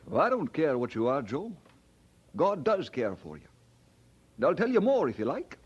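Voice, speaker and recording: An actor playing an earnest, kindly, humble preacher in a radio drama, speaking with courteous sincerity. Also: male voice, fairly high pitch, huge pitch swings, moderate pace, slightly rough, heavily acted. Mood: earnest, reassuring. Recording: dull old radio drama recording.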